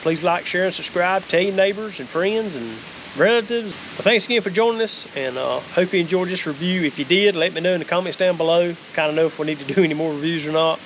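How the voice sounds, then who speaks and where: A man's voice: high voice, fast talker, poor audio. A middle-aged man with a Southern American accent giving a casual, folksy, plain-spoken product review. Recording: faint hiss and outdoor noise.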